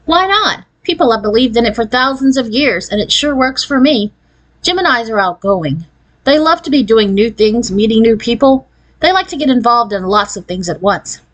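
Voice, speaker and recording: A trendy, confident young girl from a children's storybook, played by a woman reader. She talks breezily, casually showing off, with a raised, girlish pitch. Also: expressive, normal speed, rough recording. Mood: breezy, boastful. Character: trendy, self-assured thirteen-ish Californian girl, show-off.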